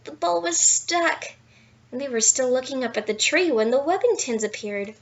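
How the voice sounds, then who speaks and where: A woman reading a children's picture book aloud in an expressive, playful storytelling style with dramatic emphasis. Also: high-pitched, moderate pace, muffled recording. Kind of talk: animated bedtime story read-aloud with asides.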